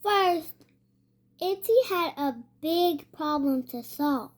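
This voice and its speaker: High-voiced child reading haltingly. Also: expressive, a little hiss or hum. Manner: hesitant, sounding out words, repeating after prompts. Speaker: young reader. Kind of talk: halting read-aloud of a storybook.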